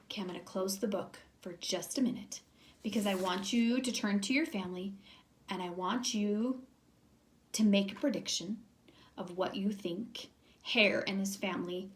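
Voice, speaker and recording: A woman's voice: medium pitch, moderate pace, a little hiss or hum. A woman teacher reading a children's storybook aloud expressively, speaking directly to young listeners.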